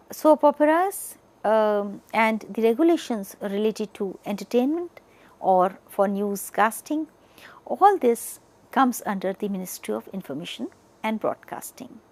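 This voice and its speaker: A woman with an Indian accent delivering an educational lecture in a deliberate way, stressing the starts of phrases and letting the ends trail off. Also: a little high, a little mellow. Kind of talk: educational lecture, partly read from notes.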